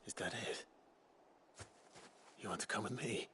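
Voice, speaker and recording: An actor playing a reserved, gruff warrior hero who is gentle with animals, in a video game, terse and understated with a British accent. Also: male voice, on the low side, measured pace, very noisy, natural voice. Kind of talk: video game cutscene acting. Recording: loud game music over the voice.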